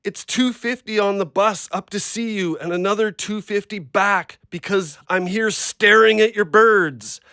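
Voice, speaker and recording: An actor playing an agitated, hard-up injured ex-logger who rambles bluntly in an audio play. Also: male voice, high voice, unhurried, few pauses, bright, forceful tone, clean tone, no room sound, studio quality, full character voice. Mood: agitated, pleading. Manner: blunt, rambling, plain-spoken.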